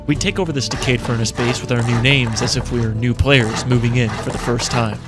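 A man's voice: medium pitch, steady tone, loud music behind the voice. A man telling the story of a survival game run and calling out shots to his team. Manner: shouts quick callouts in fights. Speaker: game streamer narrating. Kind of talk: game callouts and voice-over narration.

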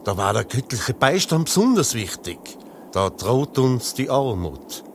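An actor playing a sly, self-interested shepherd with a Tyrolean Austrian accent in a satirical radio play. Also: male voice, medium pitch, sing-song, a little slow, slight background noise. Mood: sly, pleading. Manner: blunt, colloquial, sceptical.

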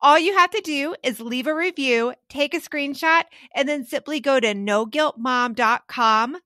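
A woman co-host reads a promo in an upbeat, persuasive way. Her voice is bright and a little high. The sound is close and dry, with no room around it. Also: measured pace, dry recording. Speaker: podcast co-host.

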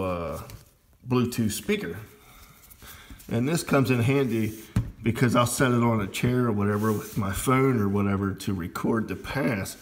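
Low-voiced guy doing a casual how-to, slow and halting, lots of gravel in it. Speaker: amateur radio hobbyist demonstrating gear. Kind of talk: casual unscripted hands-on how-to demonstration.

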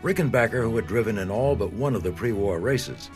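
A man's voice: fairly low pitch, expressive, fairly quick, over music. A man delivering polished, scripted narration for a documentary.

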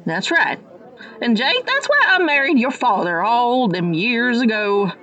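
A woman's voice: on the high side, sing-song, measured pace, sharp tone, muffled recording, some chatter behind, exaggerated voice. A woman playing a quirky, ancient, folksy small-town aunt in a parody radio play. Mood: folksy, indignant.

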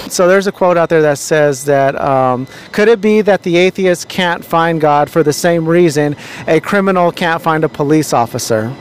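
Man, young adult, street interviewer, preachy, earnest, outdoors, handheld mic, somewhat high pitch. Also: normal speed, slight background noise. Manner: earnest, preachy, many fillers and restarts. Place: outdoors on a city street by a restaurant.

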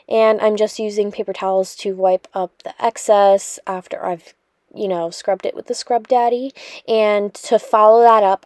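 A woman's voice: medium pitch, dark tone, dry recording. Chatty young woman, dry close voice-over. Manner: chatty, rambling, lots of fillers. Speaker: cleaning vlogger. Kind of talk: casual voice-over narration.

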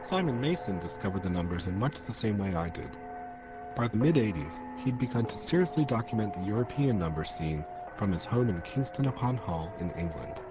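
Low-voiced, expressive male documentary narrator. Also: fairly quick, over music. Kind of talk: scripted narration. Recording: muffled, noisy radio feature audio.

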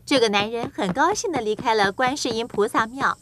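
A woman's voice: a little high, fairly quick, a bit bright, slight background noise. A woman reading scripted narration for a children's storybook tale. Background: music.

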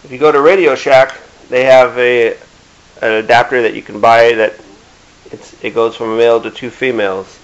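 Middle-aged man, mid-pitched, halting plain-spoken demo, lo-fi camera audio with faint hiss. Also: fairly even intonation. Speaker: DIY video maker. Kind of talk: casual hands-on product demonstration. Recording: dull lo-fi camera sound with hiss.

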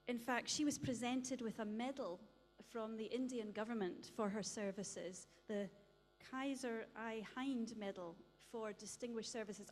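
A woman's voice: on the high side, a little mellow. A woman telling a church history story to an audience, with light humour. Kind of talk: illustrated talk with slides. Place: church hall. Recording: long reverb of a church hall.

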